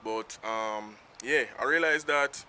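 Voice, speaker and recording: A man with a Jamaican accent talks loosely to his own camera outdoors, letting his thoughts ramble on without a script. His voice is very bright and middle-pitched, and faint street traffic drifts in behind him. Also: slow. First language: Jamaican Patois. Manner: rambling, unscripted, free-flowing. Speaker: vlogger. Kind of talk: casual talk to camera. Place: outdoors in town, in the sun. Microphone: self-filmed camera.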